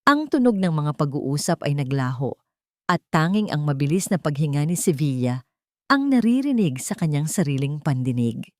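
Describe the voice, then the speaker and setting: A woman narrating a scripted dramatic story in a solemn, deliberate, melodramatic way. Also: deep voice, sing-song, dry recording, studio quality.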